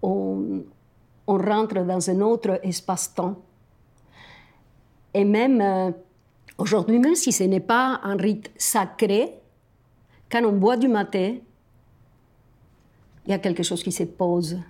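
A woman's voice: mid-range voice, slow pace, long pauses, darkish tone. A woman from Argentina, a native Spanish speaker with an Argentine accent, talks reflectively and personally to a companion, often searching for words.

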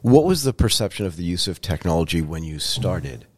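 Male podcast host, low voice, dry close sound, friendly, quick follow-up questions. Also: very animated, slightly rough, no echo. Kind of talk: interview conversation.

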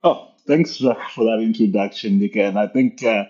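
A man's voice: lowish voice, moderate pace, dark tone, dull audio. A man from Zimbabwe, a podcast guest on a video call, speaking in a modest, hesitant way with fillers. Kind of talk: interview conversation.

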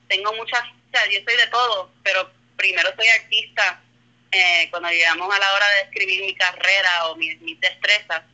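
Bright-voiced Puerto Rican woman in her thirties. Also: medium pitch, poor audio. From Puerto Rico. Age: 30s. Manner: rambling, self-correcting, drops in English words. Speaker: guest, comedian and artist. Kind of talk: casual remote conversation.

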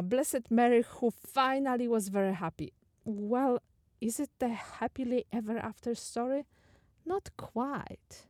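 A woman with a Polish accent giving a thoughtful, questioning talk on faith as a podcast host, sometimes halting over her words. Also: mid-range voice, dark tone, dry recording, studio quality.

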